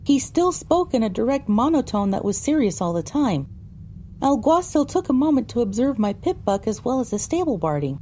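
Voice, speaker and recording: A woman's voice: fairly high pitch, very animated, moderate pace, mellow, slight background noise. A woman narrating a first-person story for an audio drama, reading descriptively with dramatic phrasing.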